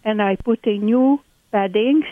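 A woman's voice: mid-range voice, measured pace, no room sound. Elderly Hungarian-accented woman on a phone line. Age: 80s. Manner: warm chatty storyteller with broken English. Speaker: landlord guest on a call-in. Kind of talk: casual radio interview.

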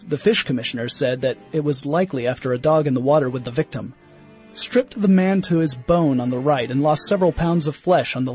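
A man's voice: medium pitch, darkish tone, lightly acted. A man voicing a young museum naturalist writing diary entries in a 1916 radio play. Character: young male museum naturalist, earnest and doubtful.

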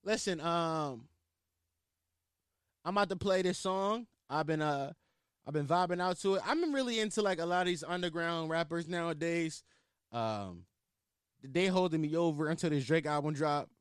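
A man's voice: fairly high pitch, normal speed, clean tone, no echo, studio quality. A man with a Philadelphia accent hosting his own podcast in casual, rambling, profane chat full of filler words. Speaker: podcast host.

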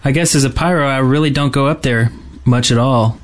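A man's voice: medium pitch, brisk. A man co-hosting a casual gaming podcast, talking in a hesitant, rambling way with trailing thoughts. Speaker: podcast co-host.

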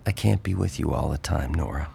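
An actor playing an easygoing, protective male newspaper reporter in an intimate audio drama, with a gravelly voice and a casual, wry manner. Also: rumbling low, fairly quick, dark tone, exaggerated voice. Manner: casual, wry, hesitant at tender moments.

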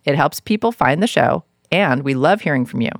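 Creaky-voiced podcast host, dry close sound. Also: mid-range voice, animated, a little fast, dry recording. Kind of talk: interview chat and scripted show announcements.

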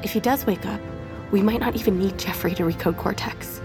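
Female actor as decisive survivor leader, medium pitch, urgent delivery, fiction podcast. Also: fairly quick, music in the background, natural voice. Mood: determined. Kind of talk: audio drama acting.

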